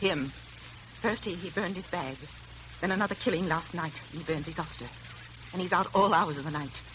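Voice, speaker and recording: An actress playing an anxious, protective middle-aged aunt who frets earnestly in a 1940s radio drama. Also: female voice, lowish voice, normal speed, a bit bright, lightly acted. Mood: worried. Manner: worried, fussing, earnest. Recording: noisy vintage 1940s radio recording.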